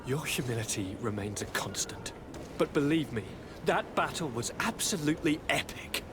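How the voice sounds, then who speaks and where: An actor playing an enthusiastic young noble cousin in a video game, effusive and florid in speech. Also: male voice, fairly high pitch, expressive, moderate pace, noisy background, close to an everyday voice. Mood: admiring, excited. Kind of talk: scripted video game cutscene acting.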